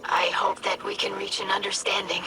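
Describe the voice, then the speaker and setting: A woman's voice: medium pitch, level tone, fairly quick, noisy background, lightly acted. A woman playing an idealistic young alien scribe in a video game, earnest, formal and politely diplomatic. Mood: hopeful. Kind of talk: dramatic video game cutscene acting. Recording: loud music under the voice.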